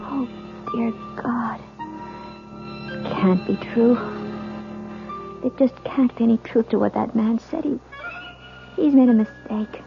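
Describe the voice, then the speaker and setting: An actress playing an anxious young newlywed wife in an old-time radio mystery, halting and pleading, repeating herself. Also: female voice, a little high, vocal fry. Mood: torn, fearful. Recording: dull old radio recording, faint music.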